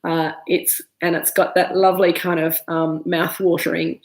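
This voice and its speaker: A woman's voice: lowish voice, level tone, normal speed, echoey room. An Australian woman in her thirties, stuffy from a head cold, chatting casually through a live wine tasting with lots of ums and restarts. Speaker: winemaker hosting a live virtual tasting. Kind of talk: casual live-stream chat, reading viewer comments. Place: winery room.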